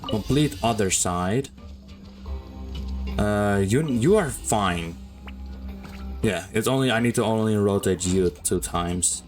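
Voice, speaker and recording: A man's voice: fairly low pitch, slow, pauses often. A man giving casual gameplay commentary as a streamer, rambling and thinking aloud. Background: faint game music.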